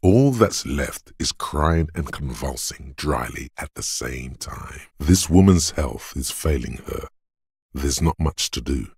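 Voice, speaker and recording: A grave, stern narrator speaks as the body's inner voice of endurance in a video game. The voice is exceptionally low, full of gravel and very husky. Each line is blunt and deliberate. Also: male voice, expressive, darkish tone, no echo, studio quality, full character voice. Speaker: game voice actor. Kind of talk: scripted second-person game narration.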